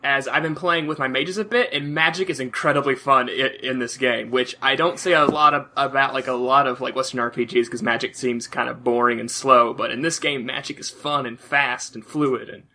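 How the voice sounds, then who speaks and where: A man chatting casually as a podcast co-host, halting and repeating phrases as he searches for words. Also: mid-range voice, steady tone, pushed and bright, a little hiss or hum. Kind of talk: casual chat.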